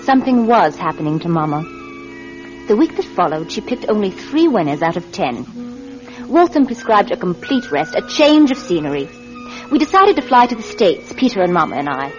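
A woman playing a scheming, sharp-tongued grown daughter in an old radio drama, cutting and persuasive, both narrating and acting. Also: mid-range voice, big pitch swings, moderate pace, natural voice. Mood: confiding narration. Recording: dull vintage radio, music behind.

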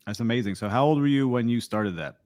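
A man's voice: medium pitch, fast talker, a little mellow, dry recording. A man hosting an interview podcast, reading a polished intro and then asking friendly questions. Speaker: podcast host. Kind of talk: scripted intro and ad read, interviewer.

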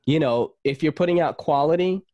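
A man hosting a podcast, talking in a relaxed, rambling way with many fillers and casual swearing. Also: on the high side, a little fast, no room sound, muffled recording. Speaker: podcast host.

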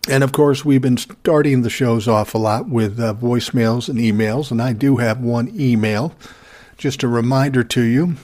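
A man in his sixties with a cold and a scratchy, hoarse throat, hosting a podcast in a calm, measured, plainspoken way, sitting close to the mic. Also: medium pitch. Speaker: podcast host. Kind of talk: casual solo podcast talk. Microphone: close to the microphone.

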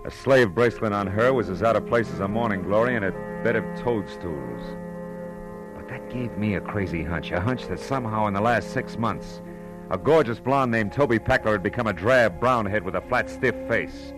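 Casting: man playing a hard-boiled noir gumshoe, low-pitched, clipped and world-weary, vintage radio sound with prominent music. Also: moderate pace, close to an everyday voice, rough recording. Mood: brooding, tense. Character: hard-boiled male private detective, wry and dogged. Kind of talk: 1940s radio drama acting, noir narration.

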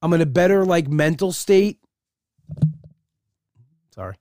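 A man's voice: on the high side, unhurried, dark tone, no echo. Rambling, candid man on a dry mic. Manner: rambling, candid, many restarts. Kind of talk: casual personal monologue.

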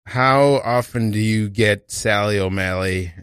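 A man hosting an improv comedy podcast, talking casually and a bit haltingly. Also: lowish voice, a bit bright, clear, resonant tone, no echo, studio quality. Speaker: podcast host. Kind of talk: casual chat.

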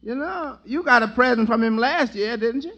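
A man's voice: extremely high pitch, animated, normal speed, sharp tone, highs cut off, heavily acted. An actor playing an easygoing tavern waiter, a dry, teasing straight man in a 1940s radio comedy. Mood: curious. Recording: noisy, hissy old radio recording.

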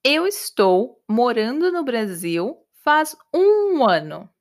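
A woman voicing an Irish woman who teaches English abroad, answering slowly and repeating herself carefully for learners. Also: fairly high pitch, sing-song, heavily acted. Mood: patient. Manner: slow, repetitive, carefully clear answers.

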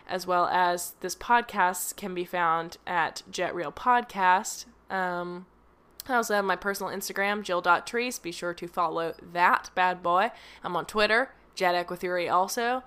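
A woman's voice: lowish voice, a bit bright, clear, resonant tone. A young woman hosting her own podcast from home, rambling casually with lots of fillers and self-deprecating asides, drifting on and off her microphone. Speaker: podcast host. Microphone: home microphone, drifting away from it.

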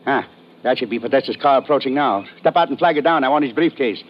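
A man plays a scheming male ringleader in a vintage radio thriller. His voice sits at a middling pitch and is imperious and commanding. The old recording sounds muffled. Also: quick pace, natural voice. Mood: menacing, commanding. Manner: commanding, haughty. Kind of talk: old-time radio drama acting.

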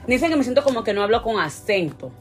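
A young Dominican woman with a Dominican accent, co-hosting a casual podcast chat, lively and laughing often. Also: a little high, fast talker. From Dominican Republic. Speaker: podcast co-host.

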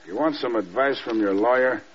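An actor playing a loyal, dry-humored military adjutant in a vintage radio drama, wry and matter-of-fact. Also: male voice, mid-range voice, fairly quick, close to an everyday voice. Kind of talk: 1940s-50s radio drama acting. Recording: muffled radio recording, loud background noise.

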